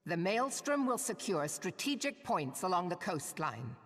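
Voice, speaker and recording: A woman's voice: lowish voice, big pitch swings, unhurried, fairly bright tone, heavily acted. A woman playing a bold, brash pirate admiral in a video game cutscene, commanding and defiant, with salty seafaring speech. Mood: defiant, rallying. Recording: long echoing hall reverb.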